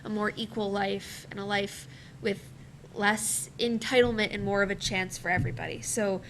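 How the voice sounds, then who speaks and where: A woman's voice: medium pitch, fairly even intonation, moderate pace, fairly bright tone, a little hiss or hum, poor audio. A young woman student answering on a discussion panel, earnest and idealistic, building long emphatic sentences. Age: teens to young adult.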